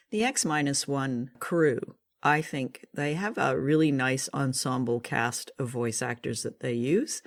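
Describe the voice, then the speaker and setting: A co-host with a North American accent, chatting in an easygoing, enthusiastic way about a show under review. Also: medium pitch. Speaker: podcast co-host. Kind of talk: casual review chat.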